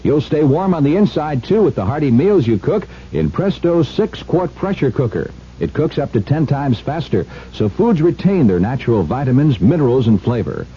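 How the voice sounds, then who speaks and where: A man's voice: mid-range voice, sing-song, moderate pace, warm tone. A man reading a scripted 1970s radio commercial as an announcer. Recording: dull, faintly noisy 1970s radio broadcast.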